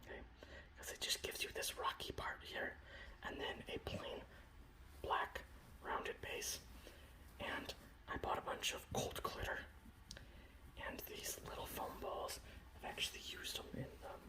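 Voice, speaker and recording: A man softly whispering in a casual, rambling ASMR style, breathy and close to the microphone, showing off a hobby. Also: measured pace, long pauses, low-quality recording. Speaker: ASMR video host. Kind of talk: ASMR, informal hobby show-and-tell.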